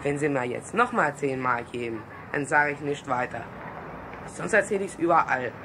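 A man's voice: on the high side, lively intonation, moderate pace, noisy background. An actor playing a cheeky, sly teenage boy blackmailer, smug and insinuating, in a 1960s German radio play.

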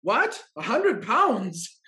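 Man playing very animated, scolding old steward. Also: high voice, extremely slow, exaggerated voice. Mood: concerned, chiding. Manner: earnest, admonishing. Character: loyal old male steward, anxious, gently scolding. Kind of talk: period comedy stage acting over video call. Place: indoors at home, video call.

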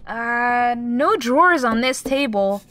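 A woman's voice: a little high, lively intonation, slow pace, background noise. A woman playing a matter-of-fact young girl who mixes Spanish into English in an audio drama.

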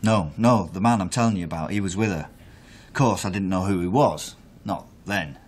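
A man with a lowish voice in a radio drama, giving his account under questioning. He is aggrieved and fixated, telling it emphatically and answering his own questions. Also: moderate pace, husky. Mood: matter-of-fact. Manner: emphatic, self-questioning storytelling. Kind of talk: naturalistic radio drama acting.

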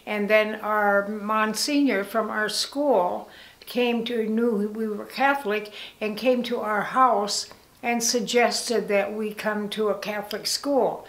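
Slow, reflective woman in her eighties. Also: mid-range voice, a bit bright, roomy sound, low-quality recording. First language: Italian. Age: 80s. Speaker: interviewee. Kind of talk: documentary interview reminiscence. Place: living room, seated.

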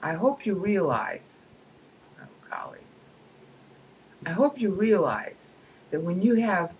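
A woman's voice: fairly low pitch, fairly bright tone, a little hiss or hum, rough recording. A woman reading a book aloud at her computer and breaking off into emphatic, scornful commentary. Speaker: reader and commentator.

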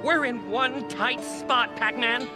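Very high-voiced, earnest brainy scientist. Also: male voice, slow pace. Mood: earnest urgency. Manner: fussy, technical, urgent. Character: earnest, brainy male inventor and ghostly scientist ally. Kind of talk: video game cutscene acting. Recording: loud music behind, distant-sounding voice.

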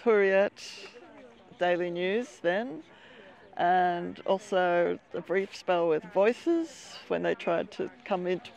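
A woman's voice: fairly low pitch, gravelly, a little mellow, lo-fi sound. An older woman, chatting as an interview guest at an outdoor seaside café, recorded on a lapel mic. She rambles a little and laughs often. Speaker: interview guest, expat community organizer. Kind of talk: casual interview chat.

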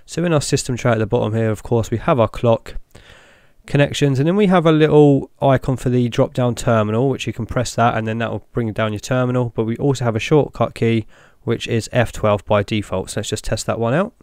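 A young man hosting a tech channel, talking through each step in a relaxed way as he clicks through an install on screen. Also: medium pitch, brisk, clear, resonant tone, no room sound, clean studio sound. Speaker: tech video host. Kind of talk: screen-recorded walkthrough narration.

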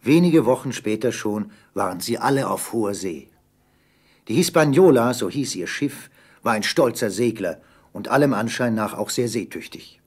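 A man with a husky voice narrating a scripted German radio play. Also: medium pitch, expressive, normal speed.

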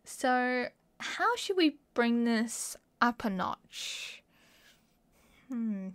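A young woman with an Australian accent playing an excitable, mischievous college friend in a casual audio roleplay. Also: fairly high pitch, drawn-out words, exaggerated voice. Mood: eager, scheming. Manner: playful, giggly, slangy. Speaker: roleplay voice performer. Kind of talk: ASMR roleplay acting, casual chat to a friend.

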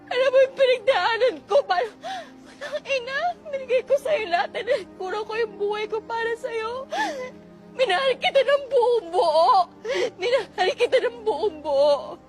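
A woman's voice: extremely high pitch, animated, quick pace. A woman playing a betrayed, heartbroken girlfriend in a melodrama, sarcastic, accusing and tearful. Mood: sobbing, heartbroken. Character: betrayed, heartbroken girlfriend confronting her cheating lover. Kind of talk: melodramatic film-style acting. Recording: loud music behind.

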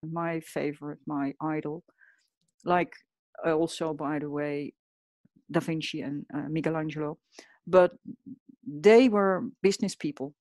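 A woman with a Dutch accent speaks in a fairly low voice, earnest and reflective, her phrasing now and then a little non-native. The sound is dry and close, with no room around it. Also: steady tone, a little slow, pauses often, darkish tone, dry recording. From Netherlands. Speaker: guest artist. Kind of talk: podcast interview.

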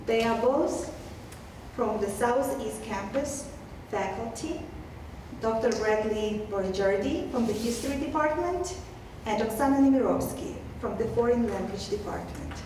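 Woman in her 30s reading an event welcome, highish voice, very echoey noisy room. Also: expressive, unhurried. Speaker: event host. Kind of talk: prepared welcome read aloud. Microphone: handheld microphone. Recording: echoey room, distant mic, clear noise.

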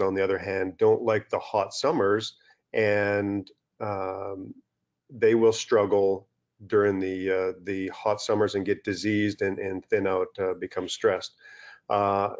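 A middle-aged man from Western Canada giving a relaxed webinar lecture over slides from a small room, with many fillers and restarts. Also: on the low side. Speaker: turfgrass scientist presenting a webinar. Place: small indoor room, video call. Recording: dull lo-fi video-call audio.

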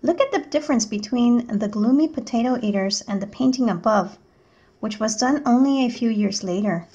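A woman reading a picture book aloud as a teacher, in measured, clearly enunciated storytelling narration. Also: fairly high pitch, mellow, highs cut off.

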